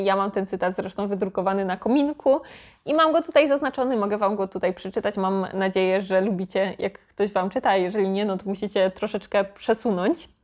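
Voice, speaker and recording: A woman's voice: mid-range voice, lo-fi sound. Chatty woman, casual book vlogger. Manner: chatty, informal, thinking aloud. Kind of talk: casual chat to viewers.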